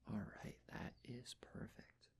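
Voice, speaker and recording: A man speaking in a breathy whisper, gently and reassuringly giving step-by-step instructions in an ASMR personal-attention roleplay. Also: slow pace. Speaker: ASMR roleplayer taking face measurements.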